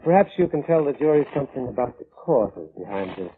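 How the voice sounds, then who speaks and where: Actor as a formal coroner, tinny old radio. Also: male voice, medium pitch, big pitch swings, slight background noise, lightly acted. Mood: quietly ominous. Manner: formal, measured, precise. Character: unflappable, courteous, quietly ominous male coroner. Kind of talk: 1940s radio drama acting. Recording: muffled vintage radio recording.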